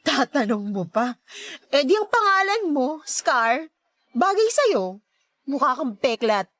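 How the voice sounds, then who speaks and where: Woman acting a two-faced filipino store lady in radio drama, whiny n spiteful, high voice, dry sound. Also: very animated, takes it slowly, fairly bright tone, no echo, exaggerated voice. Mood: irritated, mocking. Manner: bickering, shrill, fake-sweet.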